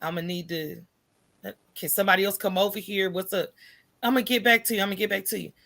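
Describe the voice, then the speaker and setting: An American woman talking as a podcast guest in an animated, colloquial storytelling way, candid and often checking in with "right?". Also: mid-range voice, fast talker, vocal fry.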